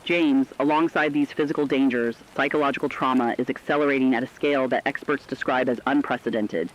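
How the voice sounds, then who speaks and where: A man acting as a sober correspondent in a radio drama, mid-pitched and heard through muffled, lo-fi sound. He reads a crisis report formally and steadily, with serious weight. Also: fairly even intonation, fairly quick, close to an everyday voice. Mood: grave, insistent. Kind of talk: scripted emergency news report.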